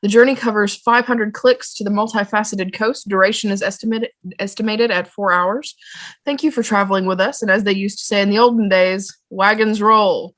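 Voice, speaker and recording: A woman's voice: medium pitch, steady tone, moderate pace, a bit bright, lightly acted. A woman playing a courteous shuttle driver giving a scripted announcement in a fan table read. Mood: genial, official. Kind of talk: fan table read over video call.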